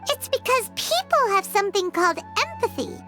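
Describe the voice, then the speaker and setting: A woman voicing a small childlike fairy companion in a video game dub, squeaky, earnest and chatty. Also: sing-song, brisk, sharp tone, soft background music, full character voice. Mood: curious.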